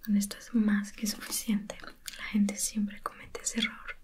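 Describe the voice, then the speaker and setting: A woman speaking in a breathy whisper, gently soothing the listener as a spa beautician in an ASMR roleplay. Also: medium pitch, completely flat delivery, a little slow. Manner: gentle, soothing, intimate, reassuring. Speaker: ASMR video creator playing a spa beautician.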